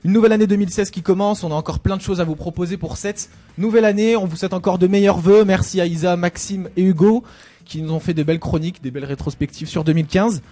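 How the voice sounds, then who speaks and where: A young man from Lyon hosting a casual radio show, chatty and relaxed, with hesitant fillers. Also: high-pitched, fast talker. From Lyon, France. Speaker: radio host. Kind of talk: casual student radio chat.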